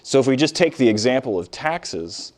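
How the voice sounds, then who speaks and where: A man's voice: mid-range voice, animated, normal speed. A middle-aged man lecturing on history in a conversational, emphatic way, with frequent fillers and restarts. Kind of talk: classroom lecture.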